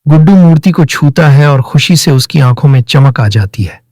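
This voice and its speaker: A man's voice: fairly high pitch, expressive, normal speed, darkish tone, no room sound, clean studio sound. A man narrating a scripted moral story for children as its storyteller.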